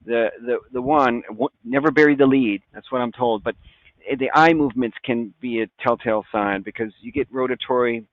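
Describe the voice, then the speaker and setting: A man's voice: medium pitch, fairly quick, no echo. A middle-aged man, a physician guest explaining medicine conversationally, with folksy, informal phrasing mixed into technical jargon. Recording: phone-like, narrow-band audio.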